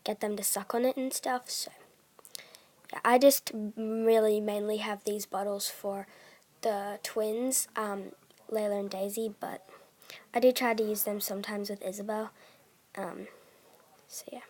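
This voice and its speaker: A teenage girl chatting casually in a vlog, soft-spoken, with many fillers, and often trailing off into a whisper. She has a bad cough. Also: mid-range voice, level tone, unhurried, pauses often. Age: teens. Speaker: doll collector vlogger. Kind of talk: casual chat.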